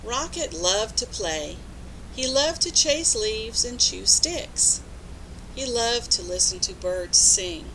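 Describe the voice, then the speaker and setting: A woman reading a picture book aloud to young children, expressive and instructive, pausing to put questions to her listeners. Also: fairly high pitch, slight background noise, low-quality recording. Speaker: storybook reader.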